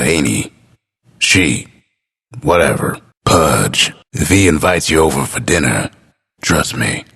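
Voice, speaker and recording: A world-weary frontier storyteller announcing a video game in a very deep voice. It is thick with gravel and heavy rasp, carried on a drawl. The delivery is laconic and folksy, with dry amusement throughout. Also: male voice, a bit bright, full character voice. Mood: wry, laconic, then dryly cautioning.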